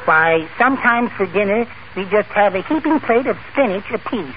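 Brief: a timid henpecked husband, mild nasal voice, high and lilting, crackly old radio. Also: male voice, expressive, normal speed. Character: meek henpecked little man, timid and giggly. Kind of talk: 1940s radio comedy acting. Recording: noisy phone-like old radio recording.

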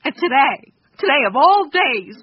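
A woman's voice: high voice, big pitch swings, unhurried, no echo, muffled recording. An actress playing an anguished, bitter wife and grieving mother in an emotional radio drama. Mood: bitter, weary. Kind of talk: naturalistic radio drama acting.

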